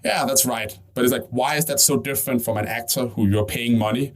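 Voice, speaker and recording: Quick-talking guy from denmark, guest ceo on a podcast, keeps saying right? and restarting. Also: mid-range voice. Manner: fast, thinking aloud with frequent "right?" and restarts. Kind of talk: conversational interview answers.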